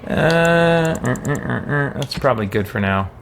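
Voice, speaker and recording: A man hosting a let's play in a medium-pitched voice. He mutters his thoughts aloud in relaxed, casual commentary, with faint noise in the background. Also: a little slow, creaky. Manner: musing, thinking aloud. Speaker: gamer, let's play host.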